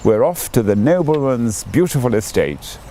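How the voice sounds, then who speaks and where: A man's voice: medium pitch, animated, moderate pace, warm tone, slight background noise, lightly acted. A man reading the part of a magical little girl in a fairy tale, eager and exclaiming. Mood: excited, wondering. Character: excited little girl, magical elder spirit in disguise.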